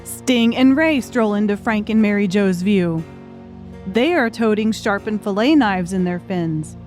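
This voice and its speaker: A woman's voice: mid-range voice, animated, unhurried, a little mellow, faint music behind. A woman reading scripted, dramatic audiobook narration.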